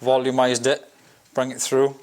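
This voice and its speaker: A man's voice: medium pitch, completely flat delivery, measured pace. A Welsh man working as a barber, casually talking through a haircut step by step in his barbershop. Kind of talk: hairstyling tutorial. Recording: loud hiss and spray noise.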